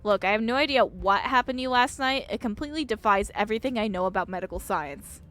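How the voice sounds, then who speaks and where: A woman's voice: medium pitch, lively intonation, fast talker, fairly bright tone, soft background music, close to an everyday voice. A woman voicing a stoic older female doctor from a visual novel, skeptical, dry and matter-of-fact. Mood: skeptical, clinical.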